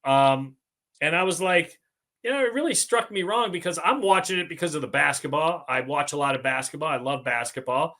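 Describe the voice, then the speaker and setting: A man's voice: a little high, animated, fairly quick, bright, forceful tone. An American man hosting a casual sports podcast, speaking as a sportswriter with a wry, self-deprecating manner.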